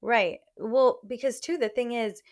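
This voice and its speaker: A woman's voice: fairly high pitch, unhurried. A woman hosting a podcast, chatting frankly and casually and giving relationship advice with many "like" fillers. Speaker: podcast host.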